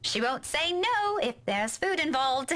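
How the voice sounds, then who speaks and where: A woman's voice: high-pitched, big pitch swings, unhurried, fairly bright tone, full character voice. A woman playing a dutiful young adult daughter in a visual novel, casual and breezy in manner. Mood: playful. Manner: casual, hesitant at times, playful.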